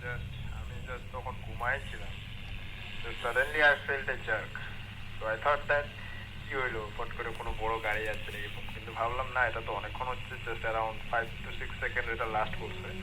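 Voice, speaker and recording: A man with a Bengali Indian accent, a native Bengali speaker, talking informally as an amateur radio operator over a two-way radio. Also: medium pitch. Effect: two-way radio transmission. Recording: noisy VHF radio link.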